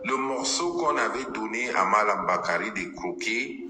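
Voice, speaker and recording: Halting anglophone Cameroonian man, echoey line. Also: fairly low pitch, animated, bright, forceful tone, soft background music. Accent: Cameroonian English-speaker's French. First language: English. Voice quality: halting, repeats words. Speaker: panelist. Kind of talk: heated political debate. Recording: echoey call with reverb and faint noise.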